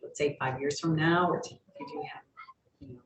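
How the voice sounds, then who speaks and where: A woman's voice: low voice, steady tone, moderate pace. A woman asking casual questions from the audience at a meeting. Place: indoor meeting room. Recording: echoey room, distant from mic.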